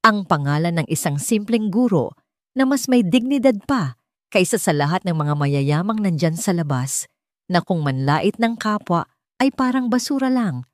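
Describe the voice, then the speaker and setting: A woman voicing a principled young daughter-in-law in an audio drama, respectful but defiant, steady and earnest. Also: on the low side, normal speed, dry recording, studio quality, close to an everyday voice. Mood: pleading defiance.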